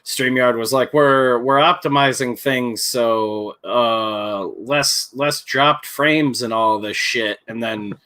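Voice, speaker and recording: A man's voice: medium pitch, steady tone, takes it slowly, hardly any pauses, sharp tone. A man co-hosting a livestream over a video call, talking casually and bluntly with frequent swearing. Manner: profane, blunt. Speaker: podcast co-host.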